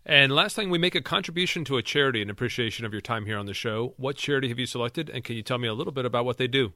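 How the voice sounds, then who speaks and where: A man's voice: medium pitch, very fast, bright, forceful tone, dry recording, clean studio sound. A man hosting an interview podcast, asking questions and closing the episode in an upbeat, polished way. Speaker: podcast host.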